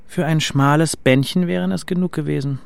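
A man playing a sardonic, burned-out poet in a German radio play, speaking in a dry, gruff, terse way. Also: a little high, steady tone, a little fast. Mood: dry, curt.

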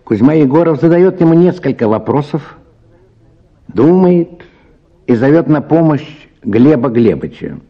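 A man narrating a short story in an expressive, scripted radio drama style. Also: fairly high pitch, unhurried, muffled recording.

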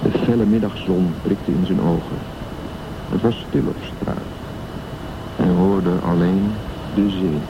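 A man's voice: low voice, fairly even intonation, measured pace. A man reading scripted narration and credits for a radio drama, as narrator and announcer. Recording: dull sound with loud background noise.

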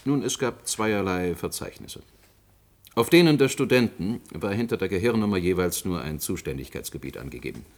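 An actor playing a self-assured president of a research project in a German science fiction radio play, explaining things articulately. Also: male voice, sits low, lively intonation, moderate pace.

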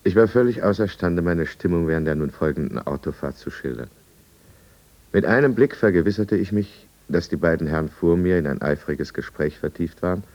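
Remorseful drinker-narrator, 1950s radio drama; bottomless bass, measured confession, dull archival recording. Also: male voice, a little mellow. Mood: confessional, tense. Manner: measured, brooding. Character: remorseful male drinker confessing his own downfall. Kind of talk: scripted first-person narration in 1950s German radio drama.

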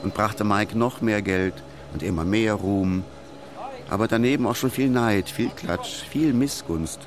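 A man's voice: on the low side, a little slow. A man narrating a scripted radio documentary about someone's life.

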